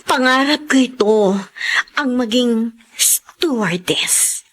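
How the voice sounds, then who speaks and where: An actress playing a hardworking, emotive young eldest daughter in a Filipino radio drama. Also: female voice, fairly high pitch, slow, husky, exaggerated voice. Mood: wistful. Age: young adult. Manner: emotive, expressive. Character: weary, hardworking eldest daughter, earnest dreamer, breadwinner.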